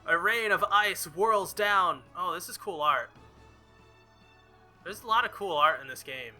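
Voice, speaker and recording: Man, very bright forceful voice, slightly high, dramatic game narration, casual asides, music behind. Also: measured pace. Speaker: let's player. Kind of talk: visual novel playthrough, reading aloud with reactions.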